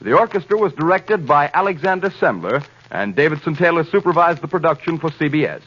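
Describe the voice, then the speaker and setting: A man announcing on radio in a formal, measured, carefully enunciated 1930s style. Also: fairly high pitch, animated, fairly bright tone. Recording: muffled 1930s radio broadcast over orchestral music. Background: orchestral music.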